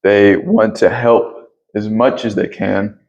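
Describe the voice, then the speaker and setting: Deep, gravelly-voiced man. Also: fairly even intonation, darkish tone, highs cut off. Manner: halting, searching for words, earnest. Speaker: interview guest, yoga teacher and Reiki practitioner. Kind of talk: reflective spiritual conversation.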